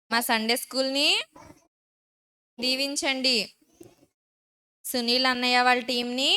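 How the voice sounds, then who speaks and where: A woman's voice: fairly high pitch, pushed and bright, smooth, rough recording. A woman, a Sunday school teacher instructing and leading children firmly in short phrases.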